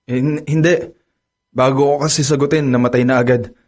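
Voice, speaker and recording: A man's voice: mid-range voice, steady tone, fairly quick, a little mellow, natural voice. A man playing a flustered, pleading young boyfriend. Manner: pleading, flustered, stammers when caught. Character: young man, lovestruck boyfriend growing suspicious. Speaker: lead character, devoted boyfriend. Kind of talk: Filipino radio drama acting.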